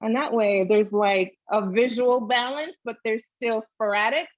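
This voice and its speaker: A woman's voice: a little high, unhurried, dry recording, dull audio. A woman giving a casual, chatty step-by-step drawing tutorial, thinking aloud as she goes. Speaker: illustrator demonstrating drawing.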